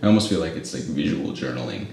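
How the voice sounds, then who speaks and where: A man's voice: very deep voice, animated, fast, gravelly, a little mellow, a little hiss or hum. A man hosting a casual interview for a coffee shop, chatty and rambling with lots of "like". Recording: echoey room, mic at a distance.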